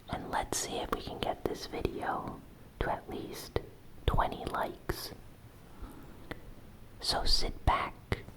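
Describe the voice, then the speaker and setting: A woman narrates news in ASMR style, entirely in a breathy, unvoiced whisper. Her pace is slow and deliberate. She sets out each item softly, in short separate phrases, as the host of a show. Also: low-quality recording.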